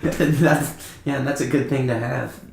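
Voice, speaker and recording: A man's voice: mid-range voice, fairly even intonation, unhurried, creaky, background noise. A young man casually telling anecdotes as a podcast guest, in an informal, rambling way. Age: young adult. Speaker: podcast guest, band musician. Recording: echoey, roomy podcast recording.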